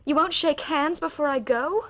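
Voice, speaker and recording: A woman's voice: sits high, moderate pace, fairly bright tone. A woman playing an earnest, contrite young bride in a period radio drama, speaking with heartfelt frankness. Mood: contrite, pleading.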